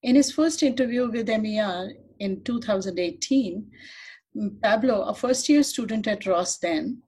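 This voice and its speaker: A woman's voice: a little high, a little slow, darkish tone, slightly rough, poor audio. A woman hosting an interview, reading a formal introduction and asking polite questions. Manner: polite, formal, reads from a script. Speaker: interviewer, host.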